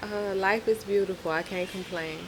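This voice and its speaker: A woman's voice: medium pitch, fairly even intonation, normal speed, a crowd in the background, low-quality recording. A woman from New Orleans with the local accent, talking casually and easily as an interview guest. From New Orleans, Louisiana. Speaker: podcast guest, rapper.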